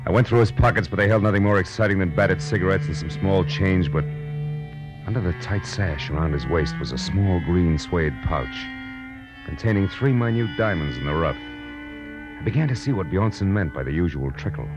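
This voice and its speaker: An actor playing a tough, wisecracking American private detective in a 1940s radio noir drama, with clipped, sardonic delivery. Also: male voice, low-pitched, animated, moderate pace, over music, rough recording. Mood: dry, wry. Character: hard-boiled, wisecracking male private detective, world-weary and tough. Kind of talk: 1940s radio noir acting and first-person narration.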